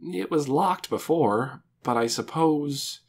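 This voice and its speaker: A woman voicing a serious, thoughtful young boy in a children's audiobook, soft-spoken, hesitant and doubtful. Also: sits low, animated, takes it slowly, slightly rough, voice pushed up, exaggerated voice. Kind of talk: children's audiobook character dialogue.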